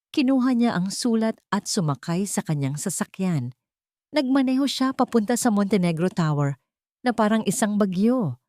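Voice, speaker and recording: A Filipino woman narrates a dramatic love story in a low register. Her tone is dark and mellow, and the recording is dry with hardly any room sound. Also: dry recording. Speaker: narrator of romantic stories.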